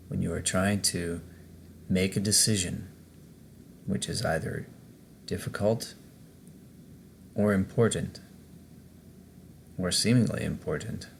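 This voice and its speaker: Slow, deep-voiced man guiding a meditation. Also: creaky, slight background noise. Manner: measured, pausing between short phrases. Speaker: guided meditation host. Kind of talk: slow guided meditation narration.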